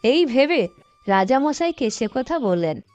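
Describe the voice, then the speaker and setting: A woman's voice: sits high, a little slow, no echo, soft background music. A woman narrating a cartoon folktale in a scripted storytelling manner. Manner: storytelling, expressive.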